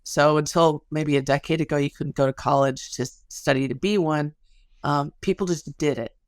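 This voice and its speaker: A woman's voice: deep voice, steady tone, normal speed, no echo. A woman, a sociologist and podcast guest, explaining things conversationally in an interview.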